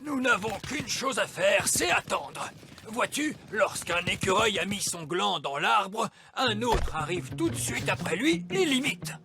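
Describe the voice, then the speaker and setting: A man's voice: high voice, sing-song, a little slow, exaggerated voice. An actor playing a wise old male elf in a children's cartoon, fussy, bossy and theatrically emphatic. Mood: resigned, grumbling. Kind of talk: animated children's cartoon dialogue.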